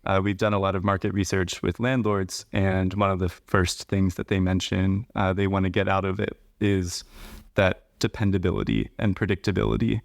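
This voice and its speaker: Male podcast host, lowish voice, measured, explanatory, dry close sound. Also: flat delivery, vocal fry, no echo. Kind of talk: podcast hosting and interviewing.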